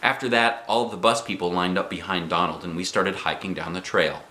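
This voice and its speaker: A man's voice: low-pitched, fairly even intonation, fairly quick, bright, forceful tone, echoey room. A man reading a children's book aloud as a dad would, with playful, theatrical expression. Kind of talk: animated storybook narration.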